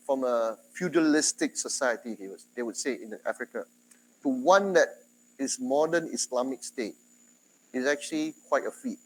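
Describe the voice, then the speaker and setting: A man from Singapore with a Singaporean English accent, giving a university lecture in a chatty, animated way. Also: medium pitch, a little hiss or hum, poor audio. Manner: chatty, animated, quotes others in dialogue.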